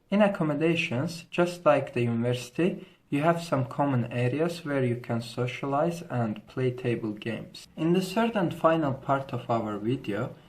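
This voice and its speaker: A young man with an Azerbaijani accent, giving a scripted informational presentation as a student host, with formal, careful phrasing. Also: medium pitch. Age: young adult.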